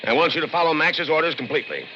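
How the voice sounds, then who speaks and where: A man's voice: fairly high pitch, animated, racing, close to an everyday voice. A man playing a proud, smug middle-aged scientist with an American accent in a 1960s radio drama, theatrical and scornful of human assistants. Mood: smug, genial. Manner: muttering, theatrical, self-satisfied. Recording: noisy, dull old radio broadcast.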